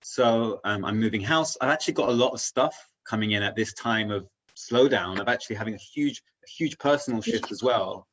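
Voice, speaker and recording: A man's voice: fairly low pitch, a little fast, few pauses, fairly bright tone, poor audio. A man chatting as an interview guest in a casual, reflective way, earnest and rambling as he works through ideas. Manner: earnest, rambling, philosophical, often checking in with "you know".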